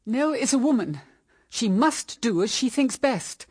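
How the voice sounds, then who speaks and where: An actress playing a grave, sorrowful mother, solemn and deliberate, in a radio drama. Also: on the high side, animated, a little mellow, breathy edge. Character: grave, sorrowful mother of two grown daughters, measured.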